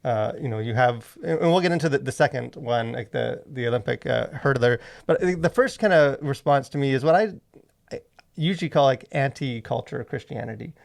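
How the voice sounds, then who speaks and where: A man's voice: mid-range voice, fairly quick, gravelly. A man co-hosting a casual podcast, talking in a wry, jokey way with rambling asides. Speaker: podcast co-host. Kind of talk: casual conversational podcast commentary.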